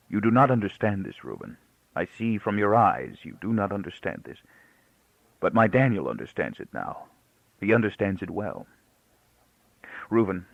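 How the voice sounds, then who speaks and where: A man voicing a grieving, pious old rabbi and father in an audiobook, speaking quietly and haltingly with solemn, emphatic repetition. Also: fairly low pitch, vocal fry, no room sound, narrow, tinny audio, heavily acted.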